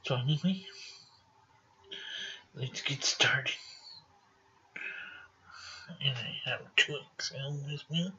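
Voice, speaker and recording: Slow-talking man with a bright voice. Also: mid-range voice. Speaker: presenter of a homemade readalong upload. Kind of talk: casual spoken introduction. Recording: muffled recording with clear background noise.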